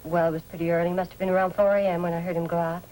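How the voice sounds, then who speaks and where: A woman's voice: lowish voice, little pitch movement, racing, dark tone, close to an everyday voice. An American woman playing a folksy, obliging boarding-house landlady in an old radio drama. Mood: helpful. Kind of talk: 1940s-50s radio drama acting. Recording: slightly noisy old radio recording.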